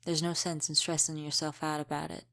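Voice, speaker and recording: A woman playing a centuries-old, world-weary female vampire in an audio roleplay, gruffly protective, speaking in an unhurried, wry, folksy way with dropped g's. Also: low-pitched, level tone, a bit bright. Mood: guarded, matter-of-fact. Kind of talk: intimate audio roleplay acting.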